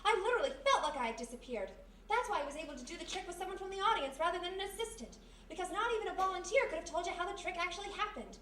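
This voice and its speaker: A woman with an American accent playing a quick-thinking, stubborn, slangy detective's sidekick in a 1940s-style radio mystery, speaking insistently. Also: extremely high pitch, expressive, a bit bright. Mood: adamant, shaken. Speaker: actor. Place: live performance in a historic house. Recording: roomy, distant live recording with faint noise.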